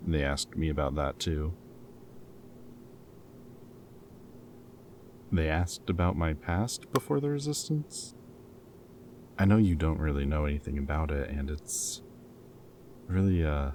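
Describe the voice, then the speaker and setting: With clear background noise, a man acts out a captured rebel scientist with a very low voice. He is caring and weighed down by guilt, talking haltingly and earnestly, as in an intimate dramatic audio roleplay. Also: darkish tone. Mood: subdued, reassuring. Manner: hesitant, halting, casual and earnest.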